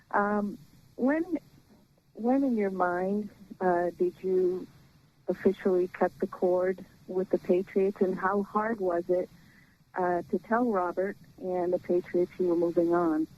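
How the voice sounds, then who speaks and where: A woman's voice: mid-range voice, slow. A woman newspaper reporter asking a question at a press conference held over the phone. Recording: noisy, muffled conference phone line.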